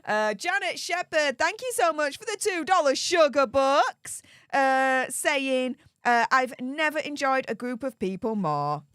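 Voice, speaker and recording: A woman's voice: high-pitched, lively intonation, unhurried, fairly bright tone. Perky Yorkshire woman streaming. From Yorkshire, England. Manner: perky, gushing, exclamatory. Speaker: live-stream host. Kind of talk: live-stream chat reading donations.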